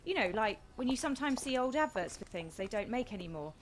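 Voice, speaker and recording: An easygoing, droll woman played by an actress chats in a radio play. Her highish voice moves up and down freely, with clear noise behind it. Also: big pitch swings, fast. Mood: friendly, curious. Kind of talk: radio play acting, naturalistic chat.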